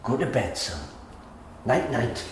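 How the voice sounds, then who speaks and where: A man's voice: mid-range voice, very animated, slow pace. An older man playing a secretive, tender grandfather in a naturalistic radio drama, speaking tersely and confidingly. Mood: quiet, confiding. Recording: very echoey, distant, noisy radio play recording.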